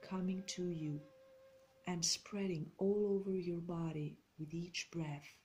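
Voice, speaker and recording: A woman leads a guided meditation in a slightly low voice. She speaks very slowly and deliberately, leaving pauses between soothing, measured phrases. Music plays clearly behind her. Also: steady tone, a little mellow.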